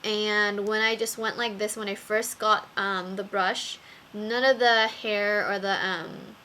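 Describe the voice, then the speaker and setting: Slow, bright-voiced young woman, strained voice. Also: medium pitch, steady tone, slight background noise. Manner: slow, with many ums and fillers. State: losing her voice. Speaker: beauty vlogger. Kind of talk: casual product review.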